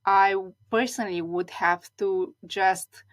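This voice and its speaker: A woman's voice: medium pitch, steady tone, slow. A woman in her thirties from Romania, a non-native speaker whose first language is Romanian, talking reflectively as an interview guest with frequent restarts and repeated words. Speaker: guest, stylist.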